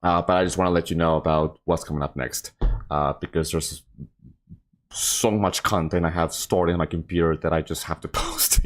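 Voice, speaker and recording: A man hosting a casual, improvised livestream, talking in an informal, rambling and slightly scattered way. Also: on the low side. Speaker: live streamer and host.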